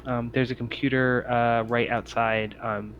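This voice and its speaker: A man improvising narration as the game master of a tabletop roleplaying game, speaking haltingly with many ums and pauses. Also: mid-range voice, little pitch movement, fairly bright tone, lo-fi sound, slight background noise. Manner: halting, hesitant delivery full of long ums and pauses.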